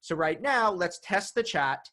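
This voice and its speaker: Man, workshop host, energetic, upbeat, bright tone. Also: high voice, measured pace. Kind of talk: online workshop introduction. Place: San Francisco.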